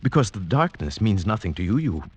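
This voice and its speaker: An actor playing an earnest, dreamy outsider in love, in mid-century radio drama style with poetic, theatrical delivery. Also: male voice, on the low side, sing-song, a little fast, darkish tone, a little hiss or hum. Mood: pleading. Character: lovestruck sighted outsider man, village slave, earnest and dreamy. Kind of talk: 1940s-50s radio drama acting, with first-person narration. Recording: old radio drama, music underneath.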